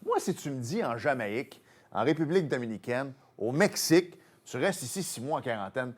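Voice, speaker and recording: A man with a Québécois French accent hosting a casual studio chat, telling stories with animated loud bursts. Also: medium pitch, a little fast. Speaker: host.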